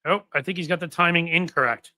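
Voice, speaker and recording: Fifty-something male host, rambling and wry, bright tone, dry close-miked sound. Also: fairly high pitch, quick pace, dry recording. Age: 50s. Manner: rambling, self-interrupting, wry asides. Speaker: political podcast host. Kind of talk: casual livestream talk to viewers.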